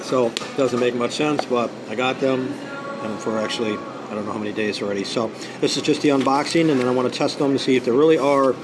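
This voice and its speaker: A hoarse man vlogging in a casual, rambling way with many ums and uhs, recording on a small tripod camera inside a busy coffee shop. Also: mid-range voice, quick pace. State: hoarse from singing practice. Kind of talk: casual unboxing vlog. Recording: noisy coffee shop with music playing. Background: background music and cafe noise.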